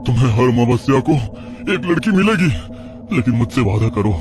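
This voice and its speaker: A man's voice: mid-range voice, expressive, brisk, over music, voice pushed up, lightly acted. A man playing an agitated, pleading village sorcerer and anxious father in a horror audio drama. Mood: panicked pleading.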